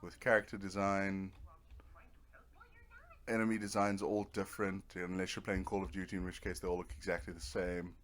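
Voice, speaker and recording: A man's voice: deep voice, little pitch movement, fairly quick, fairly bright tone. A man giving casual, rambling commentary over a video game, with a dry, self-deprecating sense of humor. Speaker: video game let's player.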